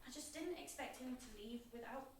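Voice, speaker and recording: Woman playing high-voiced needy teenage girl, echoey. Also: exaggerated voice. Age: teenager played by young adult. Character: needy, provocative, insecure teenage girl, impulsive best friend. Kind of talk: naturalistic drama acting. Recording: very echoey room, distant mic, faint noise.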